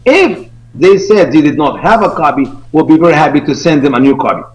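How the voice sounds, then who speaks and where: A man's voice: on the high side, lively intonation, fairly quick. Egyptian-accented man on dull lo-fi audio. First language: Arabic. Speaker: interview guest, evangelical ministry founder. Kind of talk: earnest explanatory interview talk.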